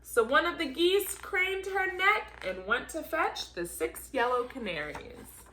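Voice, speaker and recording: A woman with a very high, bright voice reads a children's story aloud. She is highly animated and theatrical, swinging widely in pitch. Her delivery is unhurried, with key words drawn out for emphasis. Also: a little hiss or hum, low-quality recording. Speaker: volunteer storybook reader.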